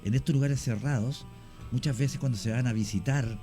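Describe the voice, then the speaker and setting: Chilean male guest, mid-pitched mellow voice, lecturing at length, faint music behind. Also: moderate pace. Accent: Chilean Spanish. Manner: long explanations, frequent fillers and restarts. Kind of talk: explanatory radio talk.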